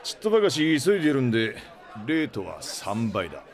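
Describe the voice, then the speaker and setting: A male actor playing a gruff, blustery middle-aged starship captain in a Japanese audio drama, with rough, brusque, slangy speech. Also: mid-range voice, huge pitch swings, fairly quick, some chatter behind. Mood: teasing, scolding. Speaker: ship captain.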